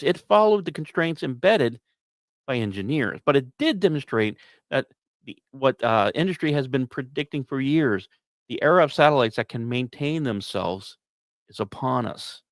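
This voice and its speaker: Male podcast presenter, scripted science news with asides. Very animated intonation, emphatic punchy phrasing, dry studio-like sound. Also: fairly high pitch, normal speed, no echo. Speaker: podcast host.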